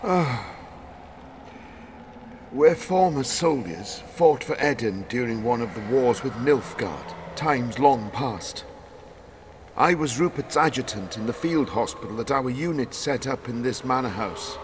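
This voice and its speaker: Actor as a shaken, guilt-ridden former soldier, noisy background. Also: male voice, medium pitch, animated, close to an everyday voice. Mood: haunted confessing. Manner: halting, nervous, stammering. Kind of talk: video game voice acting.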